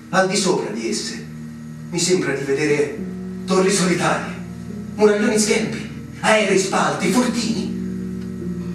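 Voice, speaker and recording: An actor playing an earnest, naive young lieutenant in a stage drama, with dreamy, lyrical diction. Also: male voice, a little high, lightly acted. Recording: echoey hall, distant mic, music behind.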